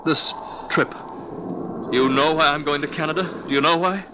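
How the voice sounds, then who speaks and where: A man plays a tormented, jealous painter in a 1940s radio play. He speaks in halting, intense bursts and repeats himself, volatile underneath. The sound is muffled, with clear background noise. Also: on the high side, close to an everyday voice. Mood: desperate, possessive. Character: overwrought jealous male painter, obsessed with his wife.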